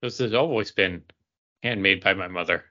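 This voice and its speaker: A man's voice: low voice, normal speed, pushed and bright, dull audio. A man chatting casually and emphatically as a podcast co-host, giving his opinions in a panel ranking.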